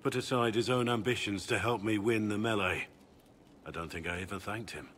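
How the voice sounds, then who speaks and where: Man acting a very deep-voiced, grave veteran warrior. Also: a bit bright, a little hiss or hum, lightly acted. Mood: reflective. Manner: earnest, formal, measured. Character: grave, weary, duty-bound veteran warrior. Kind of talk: dramatic video game dialogue.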